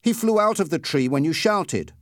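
A man's voice: on the high side, expressive, normal speed, no room sound, clean studio sound, voice pushed up, close to an everyday voice. A man voicing a careful, reasoning boy who protests against bullies in an audiobook story. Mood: calm.

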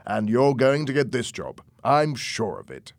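A man's voice: medium pitch, sing-song, unhurried, no room sound, clean studio sound, pitched above the performer's own voice. A man voicing a reassuring, encouraging middle-aged father. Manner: warm, encouraging.

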